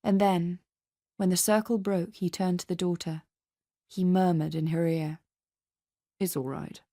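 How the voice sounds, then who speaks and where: A woman's voice: on the low side, level tone, normal speed, dark tone, no echo, clean studio sound. A woman reading a dramatic scripted story as narrator. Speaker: narrator and reader.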